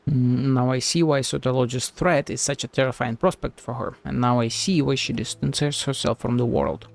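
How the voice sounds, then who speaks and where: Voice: medium pitch, steady tone, normal speed, vocal fry. A game streamer giving casual live reaction commentary, chatty, blunt and sweary. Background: game music.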